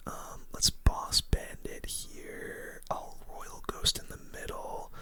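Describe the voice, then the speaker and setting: This is a gaming host who speaks entirely in a whisper, close to the mic. The sound is bright and crisp on top, with a relaxed delivery suited to ASMR game commentary. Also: takes it slowly.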